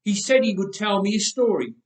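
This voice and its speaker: Man putting on a high-pitched innocent little boy. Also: very animated, fairly quick. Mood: friendly, innocent. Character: friendly innocent five-year-old boy, cheerful and trusting. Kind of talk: storybook reading. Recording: echoey church, distant microphone.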